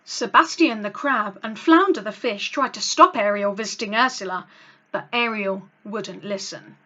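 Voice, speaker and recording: A woman reading a children's story aloud as host, with a dramatic, expressive read-along delivery. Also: medium pitch, normal speed. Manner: dramatic, animated delivery for young listeners.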